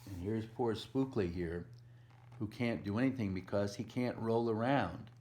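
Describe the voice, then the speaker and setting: Slow-paced man reading a children's story. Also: on the low side, darkish tone. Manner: simple explanatory asides and questions to young listeners. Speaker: volunteer children's story reader. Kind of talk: read-aloud picture book storytime for preschoolers.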